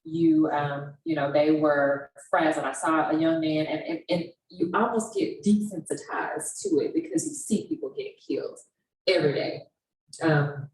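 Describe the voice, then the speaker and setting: A woman's voice: sits low, normal speed, a little mellow. A woman answering on a panel in an earnest, conversational way, repeating words for emphasis. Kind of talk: impassioned, conversational panel commentary. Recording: echoey room, distant mic, faint noise.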